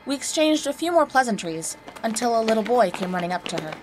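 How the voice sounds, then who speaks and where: A woman's voice: on the high side, sing-song, fast talker, music in the background, rough recording. A woman reading a scripted first-person horror story in a dramatic narrating style. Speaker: guest narrator of horror stories.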